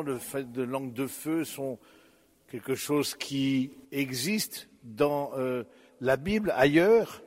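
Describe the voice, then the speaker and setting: A man preaching a sermon, slow and reflective, addressing his listeners directly, with pauses and fillers. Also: on the high side, in a large hall.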